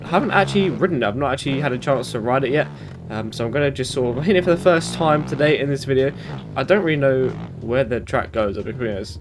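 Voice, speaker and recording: A British man talks casually over his own gameplay, his voice stuffy and blocked from hay fever. He rambles along with frequent ums and corrections, at an ordinary male pitch. Loud motorbike engine noise from the game runs underneath. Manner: rambling, many fillers and self-corrections. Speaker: gaming YouTuber. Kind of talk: casual commentary while playing. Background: motorbike game engine sounds.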